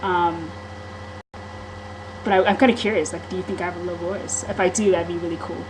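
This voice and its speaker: A woman speaks to a camera microphone in a casual vlog, her voice sitting a little low. She talks candidly and rambles, with frequent ums and restarts. Clear background noise runs under the home-video recording. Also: steady tone, a little fast. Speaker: vlogger. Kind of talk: casual personal vlog monologue.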